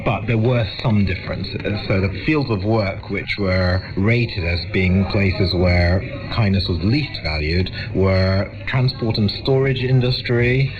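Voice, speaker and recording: Man, middle-aged academic guest, lowish voice, measured explainer, dull radio recording. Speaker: academic psychologist and expert guest. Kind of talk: radio interview commentary.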